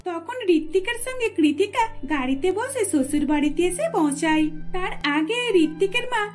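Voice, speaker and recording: A woman's voice: extremely high pitch, animated, moderate pace, a little mellow, soft background music. A woman narrating a scripted cartoon story as a storyteller.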